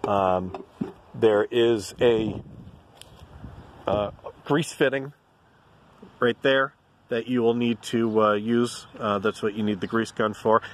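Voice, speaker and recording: A man's voice: lowish voice, steady tone, slow, long pauses, slight background noise. A man casually explaining trailer-building tips outdoors, chatty and folksy, filled with ums and okays, filmed on a handheld phone. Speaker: DIY video host. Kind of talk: casual how-to talk. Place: outdoors in a yard.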